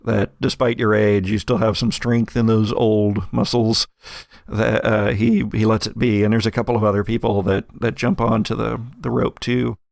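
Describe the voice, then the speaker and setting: A man with a low-pitched voice and a heavy creak in it, recorded close and dry. He works as a game master, improvising narration for a tabletop game and pausing deliberately as he builds each scene. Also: flat delivery, a little mellow, no room sound.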